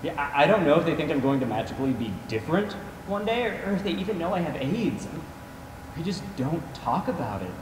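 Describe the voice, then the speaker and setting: A young man playing a wounded, rejected young man in a stage play, halting and confessional. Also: a little high, sing-song. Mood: pained, confessional. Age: young adult. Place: theater stage, indoors. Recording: echoey theater, distant, noisy.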